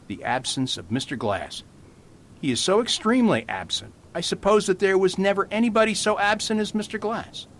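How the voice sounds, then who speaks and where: Radio mystery priest male actor, gentle and amused, fairly high voice with swooping intonation. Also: normal speed. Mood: playful explaining. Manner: animated, patiently explanatory. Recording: faint rumbling background noise.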